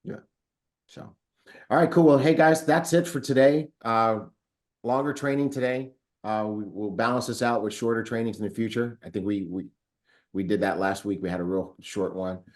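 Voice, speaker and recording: A man's voice: fairly low pitch, fairly even intonation, normal speed. Upbeat man hosting a video training call. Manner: upbeat, reads chat comments aloud, frequent fillers. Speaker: host of a company training call. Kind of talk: casual live webinar hosting.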